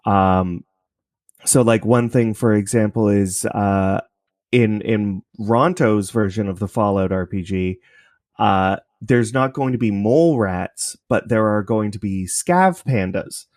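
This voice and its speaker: A man's voice: lowish voice, takes it slowly, a little mellow, no room sound. A man from Canada talking casually and informally as an interview guest, thinking aloud as he explains his creative work.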